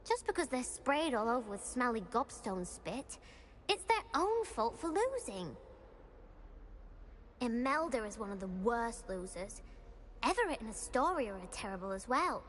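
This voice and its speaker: High, plaintive schoolgirl in a reverberant hall. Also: very animated, unhurried, a bit bright. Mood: aggrieved. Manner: plaintive, theatrical, aggrieved. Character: self-pitying, indignant schoolgirl with a wounded dramatic temper. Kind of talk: video game character dialogue. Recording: long hall reverb, faint noise.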